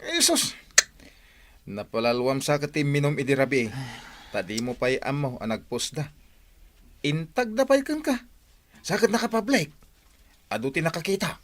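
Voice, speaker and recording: A man's voice: a little high, big pitch swings, moderate pace, pauses often. A man acting as a frank friend admonishing his buddy in an Ilocano radio drama, in a conversational way. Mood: urgent, chiding. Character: frank male friend breaking bad news to his buddy.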